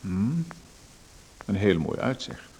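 A man's voice: fairly low pitch, big pitch swings, takes it slowly, a little mellow, a little hiss or hum, rough recording. A man playing a pushy, nosy stranger in a radio play, who makes himself at home and asks for one thing after another. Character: pushy male stranger named Macon, nosy and overbearing.

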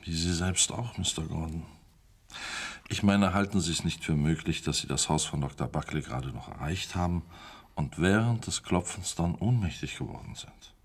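An actor playing an unruffled village police inspector in a German radio crime drama, polite and dryly sceptical. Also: male voice, low voice, normal speed, slightly rough. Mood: dismissive, polite.

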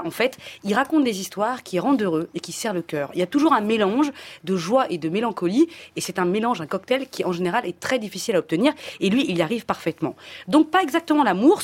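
A woman columnist presents a book on a radio talk show. Her voice rises and falls a great deal, and her delivery is playful and teasing. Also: medium pitch.

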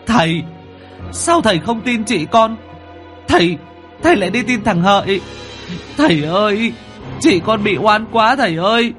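A man's voice: sits high, lively intonation, measured pace, pauses often, fairly bright tone, faint music behind, pitched above the performer's own voice. A man voicing a grief-stricken younger brother, crying out and pleading in a spoken story. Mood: wailing grief. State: crying, wailing.

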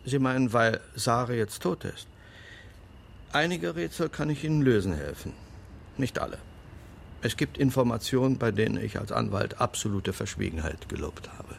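An actor playing a frail old lawyer in a radio play, guarded and sardonic, speaking in a measured, formal and pointed way. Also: male voice, medium pitch, animated, vocal fry, slight background noise. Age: older.

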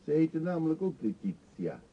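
An actor playing an eccentric, talkative older beggar in a radio drama, pushy and wry, confiding theatrically. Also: male voice, fairly high pitch, big pitch swings, normal speed, husky. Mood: confiding, emphatic. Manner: chatty, insistent, theatrically confiding. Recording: dull, muffled old radio play recording.

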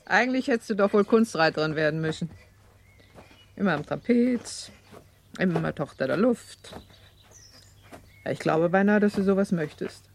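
An actress playing an elegant, gently chiding mother, lady of a manor, in a radio drama. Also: on the low side, lively intonation, moderate pace, pauses often, slight background noise. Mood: amused, musing. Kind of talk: German radio play acting.

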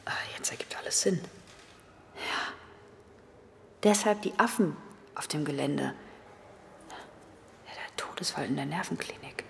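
An actress playing an anxious young woman in a radio drama, with a breathy voice that often drops to a whisper. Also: low voice, measured pace, pauses often. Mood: shocked, desperate. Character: anxious young woman worried about sick sister. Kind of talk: radio drama acting with inner monologue.

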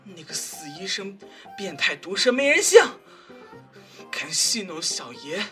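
A woman's voice: lowish voice, big pitch swings, unhurried, fairly bright tone, soft background music, exaggerated voice. A woman playing a cocky, hot-tempered young man in an audio drama, whiny, mocking and foul-mouthed. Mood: whiny, resentful.

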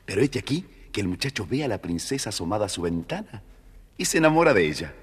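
A man's voice: fairly low pitch, very animated, fast, husky, big-room sound, full character voice. A man acting as a chatty, theatrical children's storybook narrator with an Argentine accent. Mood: storytelling.

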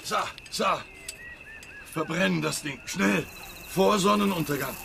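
An actor playing an uneasy, deferential assistant to an investigator in a German radio drama. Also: male voice, sits high, measured pace, creaky, background noise, exaggerated voice. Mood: urgent. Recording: loud music behind the voice. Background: loud music.

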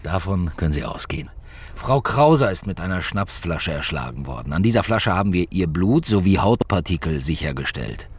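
A hard-pushing, embittered police detective in a radio drama. The voice is low and husky, the delivery blunt and pressing. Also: male voice, big pitch swings, moderate pace, dry recording, exaggerated voice. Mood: cold, menacing. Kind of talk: naturalistic radio drama acting.

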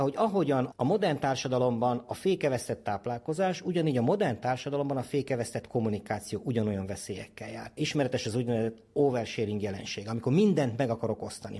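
Male expert, medium pitch, noticeably husky tone, explanatory science talk in long academic sentences. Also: warm tone. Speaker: ethologist interviewed as expert. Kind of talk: explanatory spoken science commentary.

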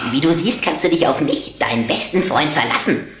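A woman's voice: low-pitched, expressive, a little fast. A woman playing a smug, boastful boy vampire in a German children's audio drama, teasing, in an echoing space. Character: smug, self-important boy vampire, cocky best friend. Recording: echoey, faint background hum.

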